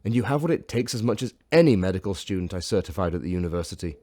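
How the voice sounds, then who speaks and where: A man playing a haughty professor in a sci-fi audio drama, brusque and pompous but gruffly encouraging. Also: deep voice, animated, rapid-fire, darkish tone, no room sound.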